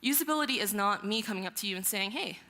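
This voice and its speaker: A woman in her thirties from Hong Kong, giving a relaxed, chatty conference talk on a large stage through a headset mic, with self-deprecating asides. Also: mid-range voice, quick pace. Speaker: conference speaker.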